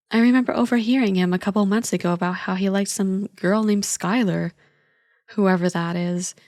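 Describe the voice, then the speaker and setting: A woman acts out a young woman, the teasing older sister of a friend, in an ASMR roleplay. Her voice sits at a medium pitch and she talks in a casual, playful, reassuring way. The sound is dry and close, with no room echo. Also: a little mellow. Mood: chatty, amused.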